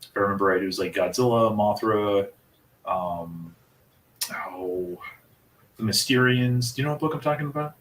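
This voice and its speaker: A man's voice: lowish voice, normal speed, pauses often, a bit bright, distant mic. Man in his 30s, from the US, interview host, chatty, rambling, echoey room, off-mic. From United States. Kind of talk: casual interview chat.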